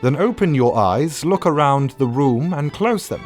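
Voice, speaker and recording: A man's voice: fairly high pitch, animated, unhurried, a little mellow. Guy doing a snooty sarcastic butler, pompous n theatrical, super swoopy delivery, bit of music behind him. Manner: pompous, condescending, theatrically exasperated. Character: Sebastian, haughty, sardonic butler roasting his viewers' submissions. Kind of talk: theatrical comic roast reading.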